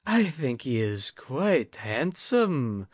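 A man's voice: medium pitch, big pitch swings, drawn-out words, no echo, clean studio sound, pitched above the performer's own voice, full character voice. A man voicing a sly old noblewoman with a sweet, polite, inscrutable manner in an audiobook. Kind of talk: audiobook character voice.

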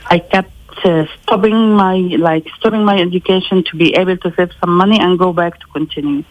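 A woman's voice: lowish voice, fairly even intonation, dry recording. Woman from Lebanon on a lo-fi phone line. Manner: measured, thoughtful, slow with pauses. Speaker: interviewee. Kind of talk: recorded interview.

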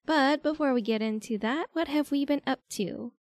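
A woman's voice: high voice, normal speed, darkish tone, no room sound, studio quality. A woman co-hosting a podcast in casual chat, with a playful, giggly manner. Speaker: podcast co-host.

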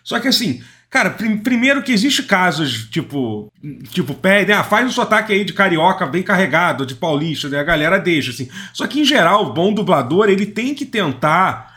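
A man in casual podcast chat who explains points quickly, full of fillers. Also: sits high, sing-song, bright, forceful tone. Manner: quick, fillers like "tipo" and "entendeu".

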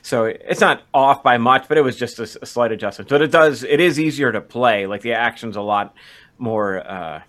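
Male podcast co-host, medium pitch, casual and conversational, halting delivery with frequent restarts. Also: a bit bright.

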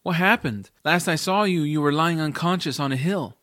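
A man's voice: fairly high pitch, normal speed, pushed and bright, no echo, studio quality, voice pushed up. A man playing an earnest, eager young boy hero in a family fantasy audiobook drama. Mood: excited. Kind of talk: audiobook drama character acting.

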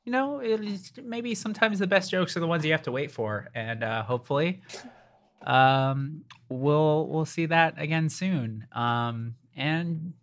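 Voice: mid-range voice, unhurried. A co-host of a snack review show, chatting casually and rambling with sarcastic asides. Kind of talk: casual chat.

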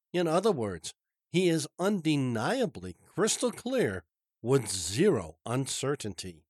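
A congested male pastor giving a Bible study lesson in a formal, deliberate way. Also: medium pitch, no room sound, studio quality.

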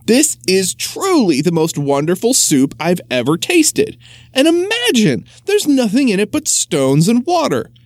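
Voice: mid-range voice, big pitch swings, fairly quick, few pauses, darkish tone, no room sound. A reader voicing a delighted village mayor. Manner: delighted, eager.

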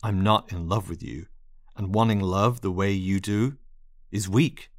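A man's voice: sits low, measured pace, no echo, clean studio sound. Man playing a cold, exasperated rejecting man. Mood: cold, firm. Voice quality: clinical, firm, clipped delivery. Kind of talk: dramatized monologue storytelling.

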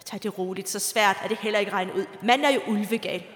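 An actress playing an indignant, sharp-witted parishioner in a comic radio drama. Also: female voice, mid-range voice, close to an everyday voice. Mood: shrewd, accusing. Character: indignant, sharp-witted married woman parishioner, devoted dog owner. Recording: echoey reverberant church sound.

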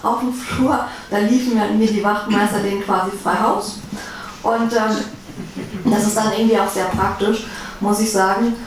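A woman's voice: medium pitch, steady tone, moderate pace. A woman guest speaker talking about her own work as a defense lawyer, recorded in a reverberant room. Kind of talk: personal talk to a live audience. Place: reverberant indoor event room. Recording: echoey room, distant mic, faint noise.

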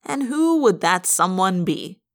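A woman's voice: fairly low pitch, big pitch swings, measured pace. A woman voicing a sardonic, accusing teenage boy in an audiobook, with a sneering, pressing delivery. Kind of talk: audiobook character voice.

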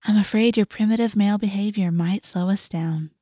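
A woman voicing a gentle, patient, reasonable teenage girl who chides softly in an audiobook. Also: medium pitch, brisk, no echo, studio quality, close to an everyday voice. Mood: mock regretful. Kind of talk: audiobook character voice.

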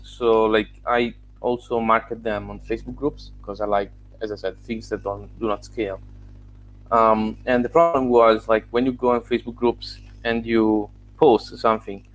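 Gravelly-voiced man explaining. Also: medium pitch, rough recording. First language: Italian. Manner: explanatory, walks through examples step by step. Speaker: guest, software founder. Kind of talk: casual interview answers.

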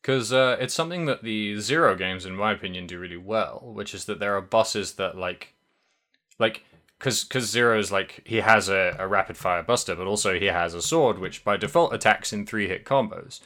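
A man's voice: on the low side, moderate pace, pushed and bright. A man co-hosting a podcast, chatting casually about video games and rambling through lots of restarts. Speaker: podcast co-host.

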